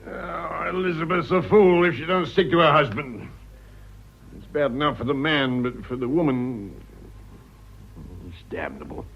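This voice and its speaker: An actor playing a gruff, emphatic, blustering old English aristocrat in a radio drama, with a British accent. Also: male voice, a little high, lively intonation, unhurried, long pauses, exaggerated voice. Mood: grumbling, grave. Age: older. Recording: dull, muffled radio drama audio.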